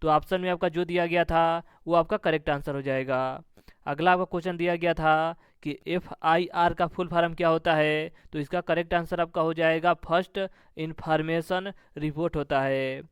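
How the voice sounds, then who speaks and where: Crisp, higher-pitched male exam teacher. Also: fairly even intonation, moderate pace, no room sound, clean studio sound. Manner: repetitive, formulaic delivery of questions and answers. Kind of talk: instructional question-and-answer exam review.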